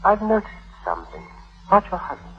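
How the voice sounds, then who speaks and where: A slightly dull old radio recording. a young tutor who schemes as he talks, smooth and insinuating, choosing his words deliberately in period drama style. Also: high-pitched, huge pitch swings, faint music behind, heavily acted. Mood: furtive, probing. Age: young adult. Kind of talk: 1950s radio drama acting.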